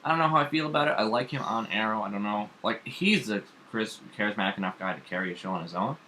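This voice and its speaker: A man's voice: lowish voice, animated, fast, sharp tone, a little hiss or hum, rough recording. A man talking as a podcast co-host in casual, opinionated pop culture chat, informal, rambling and emphatic.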